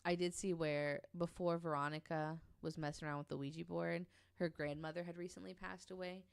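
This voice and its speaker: Dry, creaky, clear-voiced man. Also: a little high, little pitch movement, a little fast, dry recording. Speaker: podcast panelist. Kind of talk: casual storytelling chat.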